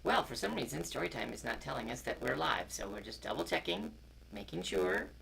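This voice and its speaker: Male storyteller and co-host, heavy creak and lively pitch, informal delivery over noisy live-stream sound. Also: fairly quick. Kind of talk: informal chat and picture-book reading aloud.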